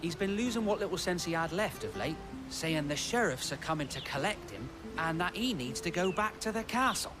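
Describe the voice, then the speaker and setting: A young British village stable keeper from a fantasy game, acted by a man, speaks in a high, lively voice over music. He is plain-spoken and worried, like someone with a charge to look after. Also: a little fast, lightly acted. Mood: puzzled, worried. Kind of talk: video game cutscene acting.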